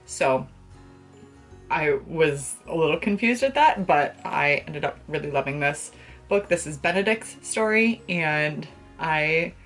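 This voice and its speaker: Low-pitched young woman, gushing book vlogger. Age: young adult. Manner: gushing, slangy, playful. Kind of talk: casual chat to camera about books. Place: small bedroom, indoors.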